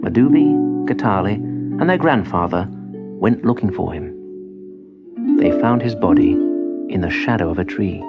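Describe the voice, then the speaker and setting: A man's voice: on the low side, expressive, normal speed, long pauses. A man narrating a children's story expressively, on an old cassette transfer. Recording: dull cassette transfer with music behind. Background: instrumental music.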